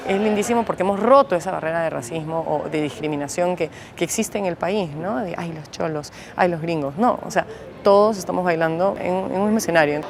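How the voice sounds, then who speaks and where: A Peruvian woman with a Peruvian Spanish accent, giving an expressive, emphatic personal testimony. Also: on the low side, fairly quick. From Peru. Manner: expressive, emphatic, fond of "o sea". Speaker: interviewee. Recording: crowd noise behind.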